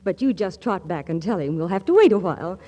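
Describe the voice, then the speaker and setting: Sly, catty glamour girl, animated. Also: fairly low pitch, fast. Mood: smug, mocking. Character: sly, catty blonde model, sweetly condescending gold digger. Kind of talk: 1940s radio comedy acting.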